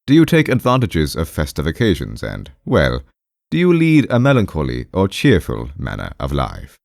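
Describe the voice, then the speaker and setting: A man's voice: rumbling low, huge pitch swings, normal speed, creaky, darkish tone, no room sound, studio quality, full character voice. A man voicing a pompous, brusque doctor in an audiobook, ponderous and emphatic, with hems and haws. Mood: insistent. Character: pompous, brusque male doctor lecturing his patient. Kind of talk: audiobook character voice.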